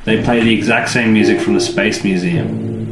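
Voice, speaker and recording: A man's voice: steady tone, normal speed, over loud music. A man co-hosting a podcast, chatting casually and playfully about a TV episode, sometimes jumping into silly voices. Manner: playful, mimics characters with sudden jumps in pitch. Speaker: podcast co-host. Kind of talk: casual chat reviewing an episode. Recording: echoey room, faint background noise.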